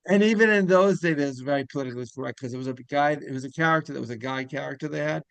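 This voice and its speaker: A man's voice: fairly high pitch, racing, creaky, a bit bright, clean tone, dry recording. A man hosting a casual interview, talking in a rambling way that circles back mid-sentence. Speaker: podcast host interviewer.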